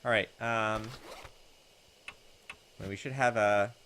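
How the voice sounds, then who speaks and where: A man's voice: lowish voice, fairly even intonation, slow, fairly bright tone, slight background noise. A young man reading a visual novel aloud, mixing dramatic narration with casual, informal commentary. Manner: reads in-game text dramatically, comments informally. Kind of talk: game narration with casual asides. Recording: music behind the voice. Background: video game music.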